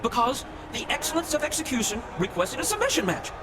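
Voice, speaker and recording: A man playing a flamboyant, excitable wrestling manager, loud and full of jive talk, in a video game. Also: extremely high pitch, fast. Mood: excited, brash. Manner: loud, jive-talking showman. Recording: very noisy, loud crowd.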